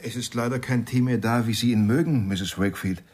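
An actor playing a polite, matter-of-fact household handyman with a husky voice in a radio crime drama. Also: mid-range voice, fairly quick, darkish tone, natural voice. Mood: polite, dry.

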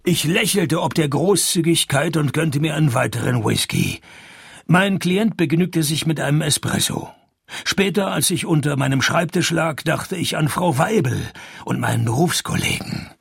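An actor playing a wry, hard-drinking private detective, speaking in a deadpan, laconic way in a noir radio play. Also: male voice, on the high side, normal speed, no echo, clean studio sound, heavily acted. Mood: dry narrating. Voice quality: husky, gravelly. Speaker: narrator and lead.

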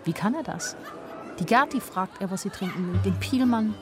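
A woman's voice: medium pitch, expressive, normal speed, natural voice. An actress playing a naive but observant young woman who tells her own story in a wry, plain-spoken radio drama narration. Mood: amused, observant.

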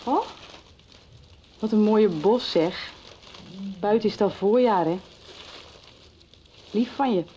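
A woman's voice: medium pitch, slow pace, pauses often, warm tone, slight background noise, lightly acted. An actress playing a kind, chatty, motherly woman in a radio drama. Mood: friendly. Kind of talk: 1990s radio drama acting.

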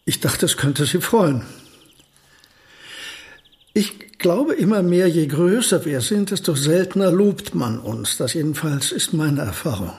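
A courteous old genius in a radio play, with a rather high voice. He is absent-minded and gentle, and puts things politely with dry, understated wit. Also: expressive, measured pace. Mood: modest, polite. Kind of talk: radio drama acting.

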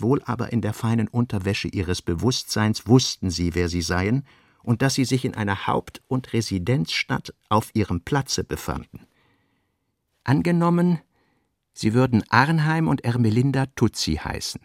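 A man's voice: lowish voice, vocal fry, no echo, studio quality. A man narrating literary prose for a radio play in a scripted reading style.

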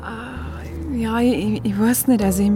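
A woman's voice: a little high, lively intonation, unhurried. Hesitant young Bavarian woman. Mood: shy, flustered. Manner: hesitant, giggly, colloquial. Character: shy, bubbly young female photography student. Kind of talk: naturalistic radio drama acting. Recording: faint music behind the voice.

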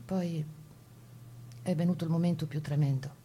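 An actress playing a captured partisan woman who recounts her ordeal in a grave, intimate, husky stage monologue. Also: deep voice, fairly even intonation, normal speed, mellow, a little hiss or hum, close to an everyday voice. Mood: haunted, fearful. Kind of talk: dramatic monologue of wartime testimony.

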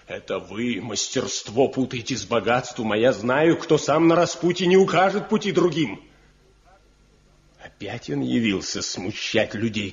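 An actor playing a proud, scornful younger village craftsman in a radio drama, insistent and sardonic. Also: on the high side. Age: young adult. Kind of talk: Soviet radio drama acting. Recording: dull 1970 radio play recording.

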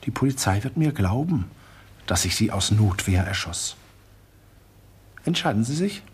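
An actor playing a smooth, coolly menacing art collector who murders, in a radio drama. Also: male voice, on the low side, big pitch swings, unhurried, heavily acted. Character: suave murderous male art collector, villain.

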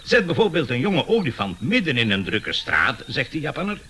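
An actor in a radio comedy playing a proud, obsessive amateur inventor and bird breeder, self-important, emphatic and easily exasperated. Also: male voice, medium pitch, huge pitch swings, moderate pace, bright, forceful tone, slightly rough, full character voice. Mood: proud, eager. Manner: cooing over pets, self-important, emphatic explaining. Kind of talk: comic radio play acting. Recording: noisy early-1980s radio play recording.

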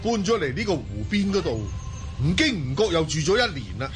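A man's voice: on the high side, big pitch swings, brisk, soft background music. A man playing an earnest, respectful seventeen-year-old Mongol youth in a Cantonese audio drama.

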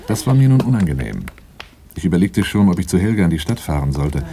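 A man's voice: sits low, sing-song, fast talker, warm tone. An actor playing a sober, dutiful bodyguard who tells his own story dryly in a radio crime play. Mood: uneasy. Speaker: narrator. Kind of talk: first-person narration in a radio crime play.

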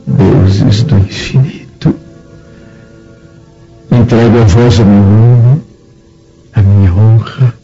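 An actor playing an anguished, proud Roman senator, delivering a solemn lament in a radio drama. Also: male voice, on the low side, creaky, dark tone. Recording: dull radio drama recording with music.

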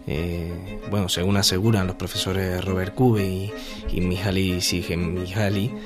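A man's voice: fairly low pitch, natural voice. An actor playing a musing male lecturer in a radio play, explaining in a conversational, slightly hesitant professorial way. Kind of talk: scripted radio monologue in lecture style.

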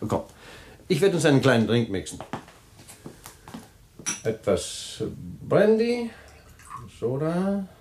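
A rattled husband with a lively, swinging voice, smooth with friends and sharp when cornered. He talks his way around things, in an old radio play. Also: fairly high pitch, slow, pauses often, a little hiss or hum. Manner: smooth, then flustered and shouting on the phone. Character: husband with a secret, defensive and rattled.

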